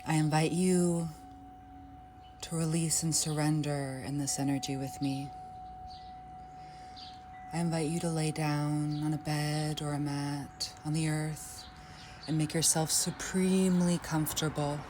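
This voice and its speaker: A woman leading a guided yoga nidra meditation in a soft, unhurried, inviting way. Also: sits low, steady tone, background noise.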